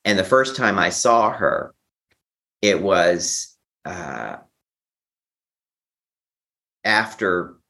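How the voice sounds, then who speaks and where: A man giving a personal account as an interview guest, speaking haltingly, often repeating and restarting his phrases. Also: low-pitched, animated, pushed and bright. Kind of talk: personal recovery storytelling.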